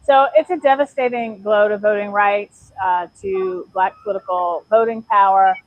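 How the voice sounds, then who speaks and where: A woman politician answering interview questions in a calm, firm way. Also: mid-range voice, moderate pace, dry recording. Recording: tinny phone-like line.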